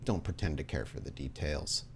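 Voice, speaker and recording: A man playing a commanding male crime patriarch who speaks in a measured, deliberate way. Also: a bass voice, gravelly, noisy background, pitched below the performer's own voice. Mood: calm menace. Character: commanding, coolly smiling male crime patriarch with ringed fingers.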